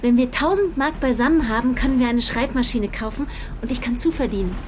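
A woman's voice: on the high side, a little fast, a little mellow, slight background noise, lightly acted. An actress playing a young working woman in an audio drama, plain-spoken, guarded and defensive. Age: young adult. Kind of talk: German audio drama acting.

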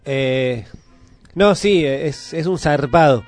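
A man with a Buenos Aires Argentine accent chatting casually on a radio panel, in a colloquial and halting manner. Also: a little high, faint music behind. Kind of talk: casual chat.

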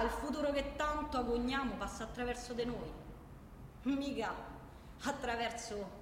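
An actress playing a defiant, impassioned protester in a theatrical monologue, declaiming insistently in a Roman Italian accent with emphatic repetition. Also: female voice, on the high side, lightly acted. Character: defiant, impassioned woman protester ranting on a train. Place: large reverberant room, far from microphone. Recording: distant, echoey hall with background noise.

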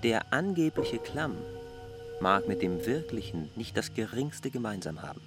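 An actor playing an earnest, argumentative stranger in a literary radio drama, reasoning insistently in long, deliberate clauses. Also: male voice, lowish voice, very animated, over music.

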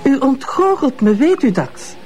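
Proud bitter Flemish lady, ironic, scolding, very lively pitch. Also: unhurried. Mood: defiant. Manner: ironic, scolding, self-assured. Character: proud, bitter middle-aged Flemish woman, Mrs Mertens. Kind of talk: radio drama acting.

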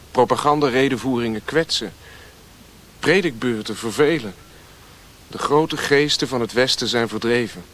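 A man's voice: medium pitch, fairly bright tone. A man playing a guarded, disillusioned Western radio operator in a radio play, restrained and serious.

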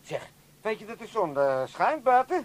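Loud nosy old amsterdam dad, really high voice, blustery, trips over words, 80s radio comedy. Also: male voice, big pitch swings, normal speed. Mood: boisterous. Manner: blustering, stammering when worked up. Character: loud, meddling old Amsterdam father, shady and opinionated. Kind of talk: 1980s Dutch comedy radio play acting.

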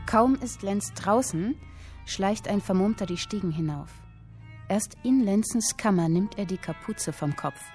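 Radio play narrator, an actress: woman, mid-pitched, deliberate and vivid literary storytelling, music underneath. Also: a little mellow, close to an everyday voice. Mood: suspenseful. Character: female storyteller reading a vivid historical tale.

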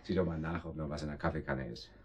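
An actor playing a menacing thug in a radio crime play, mockingly polite and given to barking orders. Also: male voice, rumbling low, fairly even intonation, fast talker, crowd noise. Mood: mockingly genial. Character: menacing, jovially mocking male thug intruder. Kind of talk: radio crime drama acting.

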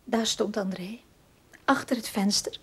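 An actress playing a haunted, uneasy widow in a radio drama, husky, deliberate and defensive. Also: female voice, medium pitch, fairly even intonation. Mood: frightened, hushed. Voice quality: husky, breathy.

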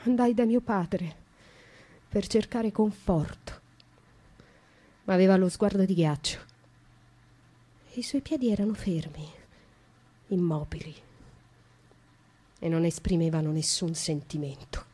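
A haunted young woman, hushed. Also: on the low side, husky. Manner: slow, intimate, hushed narration. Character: haunted young woman recounting dark dreams. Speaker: actress in a radio monologue. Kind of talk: theatrical radio drama monologue.

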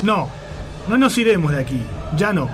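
An actor playing a grim young lover with a sinister edge in a radio drama. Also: male voice, sits high, very animated, moderate pace, over music. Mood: sinister resolve.

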